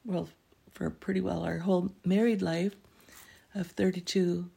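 A middle-aged woman from Canada, hosting a podcast and telling a family story in casual chat, clearing her throat as she loses her voice. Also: lowish voice, steady tone, measured pace, warm tone. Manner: laughing, self-deprecating jokes. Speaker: podcast host.

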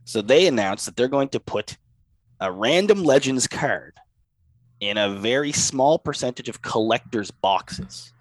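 A man's voice: medium pitch, expressive, measured pace, no echo, poor audio. A man co-hosting a podcast, talking in a casual chat, exasperated and ranting, with a stuffy head cold. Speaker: podcast co-host.

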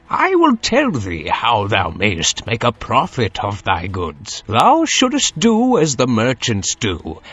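Husky, very slow, swooping market sheikh. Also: male voice, mid-range voice, a bit bright, heavily acted. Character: unhurried elderly male sheikh of market brokers, advising. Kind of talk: theatrical audio drama acting. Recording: dull lo-fi sound, music behind.

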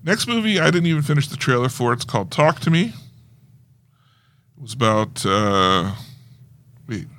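A man's voice: mid-range voice, lively intonation, moderate pace, long pauses, fairly bright tone. A man co-hosting a podcast, talking casually in a movie review discussion. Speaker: podcast co-host.

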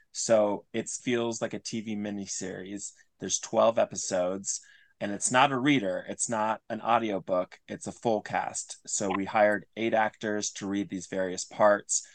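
A man speaking as an interview guest, explaining things earnestly in a conversational way and often restating his phrases. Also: fairly low pitch. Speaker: interview guest, audio drama creator.

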